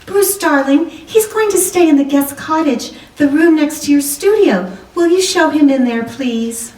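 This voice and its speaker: A gracious, anxious hostess in a radio play, played by a woman, with a high voice. She speaks politely and affectionately, set back from the mic in an echoey room. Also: expressive, a little slow, darkish tone. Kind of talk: radio drama dialogue. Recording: roomy, distant microphone.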